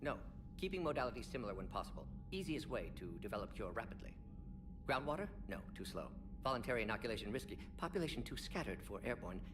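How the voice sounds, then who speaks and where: A man acting as an eccentric alien scientist from a video game, rattling out clipped phrases very fast. His pitch jumps around excitably, with music clearly playing behind him. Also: natural voice. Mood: brisk, analytical. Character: rapid-talking eccentric male alien scientist, brisk and brilliant.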